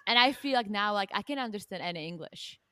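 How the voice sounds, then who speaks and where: A woman from the Republic, a podcast guest in casual chat, easygoing and quick to laugh. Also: mid-range voice, expressive, very noisy. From Czech Republic.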